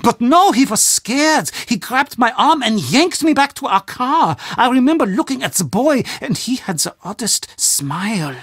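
An actor playing an anxious, gloomy older castle official in a video game, with a German accent and a fretful, melodramatic, formal way of talking. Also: male voice, very high-pitched, sing-song, unhurried, few pauses, fairly bright tone, husky, no echo, studio quality, full character voice. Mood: gloomy, haunted. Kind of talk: video game character acting.

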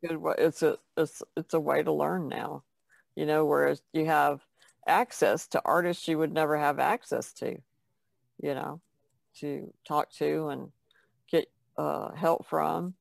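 A woman's voice: low voice, flat delivery, unhurried, gravelly, no room sound. A woman speaking casually as a panelist on a podcast. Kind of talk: casual chat.

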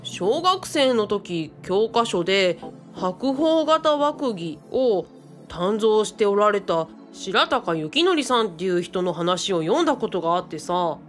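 A woman's voice: mid-range voice, very animated, takes it slowly, soft background music. A woman playing a modest, bookish young man in a radio drama, speaking hesitantly and matter-of-factly. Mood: modest explaining.

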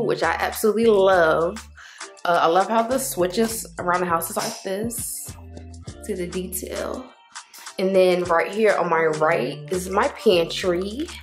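A young woman vlogger giving a chatty, gushing walk-through of her empty new apartment, filming on a handheld phone. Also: medium pitch. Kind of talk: casual apartment tour. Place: empty apartment indoors, rooms and patio. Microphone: handheld phone camera.

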